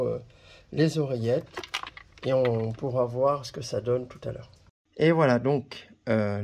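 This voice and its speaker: Guy doing a hands-on review, slow talker w lots of pauses, bit of noise behind him. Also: medium pitch. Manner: halting, pausing while handling items. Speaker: video presenter reviewing a product. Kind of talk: casual hands-on product demonstration.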